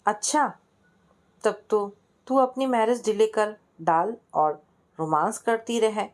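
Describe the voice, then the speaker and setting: A woman's voice: medium pitch, slow, pitched above the performer's own voice, natural voice. A woman narrator plays a young female student from a family storybook. She is curious and caring, questioning and teasing, with an insistent streak.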